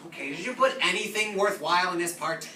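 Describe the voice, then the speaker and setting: A man's voice: sits high, quick pace. A sarcastic young man giving mock book summaries in a comedic reader's theatre. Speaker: comedy sketch performer. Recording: echoey room, distant mic, background noise.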